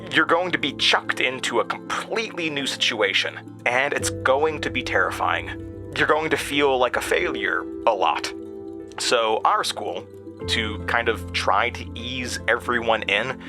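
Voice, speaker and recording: An actor playing an anxious, haunted young man in an audio drama, speaking in a halting, nervous, stammering confessional monologue. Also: medium pitch. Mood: anxious, urgent, then nostalgic, reflective. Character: anxious, haunted young Midwestern man recalling traumatic memories.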